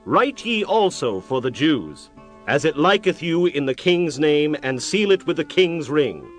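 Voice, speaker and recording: A man's voice: fairly high pitch, sing-song, measured pace, faint music behind. An actor playing an ancient Persian king in a dramatized scripture reading, commanding and regal, making formal pronouncements. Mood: solemn, commanding. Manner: formal, declaratory royal pronouncements.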